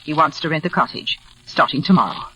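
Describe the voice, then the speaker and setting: A woman's voice: deep voice, quick pace, a bit bright, slight background noise, poor audio. A woman playing a solemn, mysterious older cottage keeper, grave and knowing, in 1940s radio drama style. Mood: grave, consoling. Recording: vintage radio broadcast, music behind.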